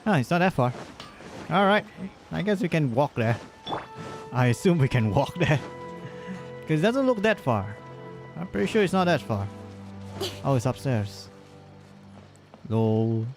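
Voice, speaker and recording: A man's voice: fairly high pitch, big pitch swings, unhurried, long pauses. A man live-streaming with casual, joking, informal gameplay commentary and hesitant phrasing. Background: game music.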